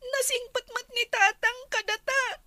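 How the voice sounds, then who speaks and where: An actress playing an earnest, pleading young student daughter in a radio drama, respectful but plaintive. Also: female voice, very high voice, fairly even intonation, measured pace, pushed and bright, full character voice. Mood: pleading, concerned.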